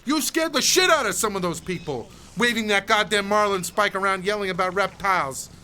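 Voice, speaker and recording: Brash, sharp-voiced, volatile male attorney. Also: a little high, expressive, fairly quick, a little hiss or hum. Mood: agitated, angry. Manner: blustering, profane, bossy. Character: brash, volatile, foul-mouthed male attorney on a drug binge. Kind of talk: film drama dialogue.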